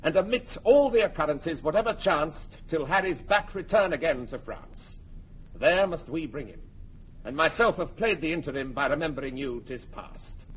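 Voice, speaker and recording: Stately, muffled storytelling chorus. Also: male voice, a little high, animated, moderate pace, breathy edge. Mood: declamatory. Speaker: narrator, chorus. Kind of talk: declaimed Shakespearean radio narration. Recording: muffled, faintly noisy old radio recording.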